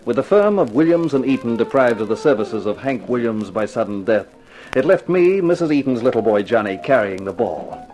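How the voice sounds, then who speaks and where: An actor playing a wisecracking, slangy private detective in a 1950s radio crime drama. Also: male voice, mid-range voice, very animated, fairly quick, darkish tone, soft background music, lightly acted, low-quality recording. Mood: wry narrating. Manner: slangy, wry, tough-guy talk. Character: wisecracking hard-boiled private detective, narrating his own case.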